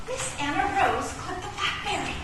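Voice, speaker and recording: Naive, squabbling little chess pawn played by a woman, animated and high, radio comedy, echoey noisy recording. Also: normal speed. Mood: simple, cheerful. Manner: plaintive, folksy, teasing. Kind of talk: comic radio play acting. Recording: roomy, distant, noisy stage recording.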